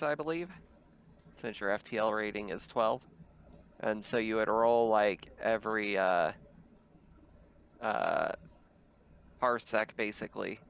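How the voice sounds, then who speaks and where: A man talking casually as game master, explaining things in a halting way over dull, noisy voice-chat audio. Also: on the low side, fairly bright tone, clean tone. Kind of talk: casual tabletop game talk.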